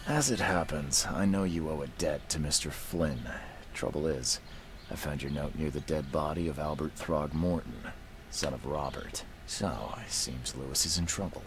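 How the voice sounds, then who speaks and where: An actor playing a blunt, world-weary private investigator in a video game, gravelly and measured in delivery. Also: male voice, very deep voice, noisy background. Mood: dry, probing. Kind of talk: video game dialogue acting.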